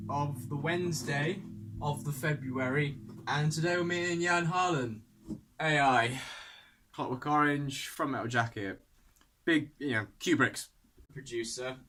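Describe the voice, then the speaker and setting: A man's voice: fairly high pitch, bright, forceful tone, husky. A man talking casually to a camera as a vlogger, playful and choppy in his phrasing. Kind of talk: casual vlog talk to camera.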